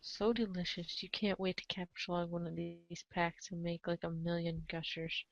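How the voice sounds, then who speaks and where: A woman's voice: on the low side, level tone, moderate pace, gravelly, no room sound. Playful woman narrator, dull close recording. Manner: playful, theatrical, breaks into asides and chuckles. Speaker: narrator of a comic read-along show. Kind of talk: animated dramatic reading with casual asides.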